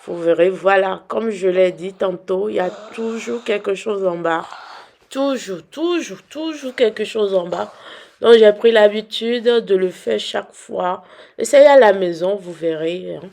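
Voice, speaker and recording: Hoarse young woman, unhurried and gravelly, teasing viewers while she cooks. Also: medium pitch, a little mellow. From Benin. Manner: chatty, teasing, addresses viewers directly. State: hoarse from a cold. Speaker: cooking vlogger. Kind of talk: casual cooking demonstration.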